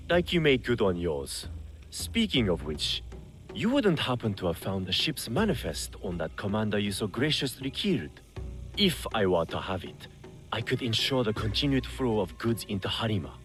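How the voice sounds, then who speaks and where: An actor playing a smooth, self-serving male smuggler boss in feudal Japan, suave and mock-courteous, in a video game. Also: lowish voice. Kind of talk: scripted video game cutscene acting.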